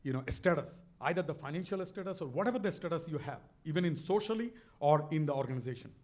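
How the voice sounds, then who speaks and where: A man's voice: on the high side, animated, fast, a little mellow. Emphatic Bangladeshi-accented man. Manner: earnest, emphatic, repeats words. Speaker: speaker on a stage talk. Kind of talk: motivational storytelling.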